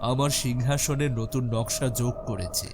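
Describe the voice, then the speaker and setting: A man playing a wry, dignified king and father in an animated fairy tale. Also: medium pitch, normal speed, slight background noise, natural voice. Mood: wry, formal. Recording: faint music behind.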